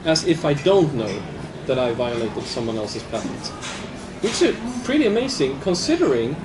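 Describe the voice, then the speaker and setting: A man's voice: a little high, rough recording. A man with a Swedish accent, speaking hesitantly with many restarts in a live panel discussion. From southern Sweden. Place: large public hall.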